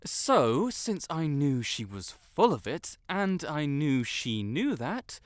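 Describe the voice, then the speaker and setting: A man playing a vain, stuck-up young wizard with a secret soft spot, pompous and theatrical, in a roleplay audio drama. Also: on the high side, big pitch swings, slow, dry recording. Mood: indignant. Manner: pompous, theatrical, haughty, flustered outbursts. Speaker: voice actor.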